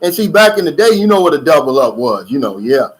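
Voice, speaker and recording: Middle-aged male channel host, loose chatty livestream delivery, pitch a touch high. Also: big pitch swings, quick pace, poor audio. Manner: loose, chatty, drawling with fillers and asides. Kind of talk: casual livestream chat reading viewer comments. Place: lives in the Philippines.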